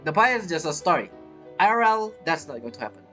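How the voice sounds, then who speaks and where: Casual gaming commentary, chatty streamer, reactive, repeats phrases, loud-ish game soundtrack behind. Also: medium pitch, big pitch swings, quick pace, faint music behind. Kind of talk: casual let's-play commentary.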